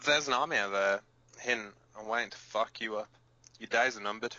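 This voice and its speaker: Man playing blunt, foul-mouthed resistance fighter, sharp-edged. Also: lowish voice. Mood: menacing. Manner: blunt, profane, halting. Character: gruff, foul-mouthed battle-scarred male resistance fighter co-hosting pirate radio. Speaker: resistance radio co-host. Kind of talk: audio drama as underground radio broadcast. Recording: dull lo-fi sound.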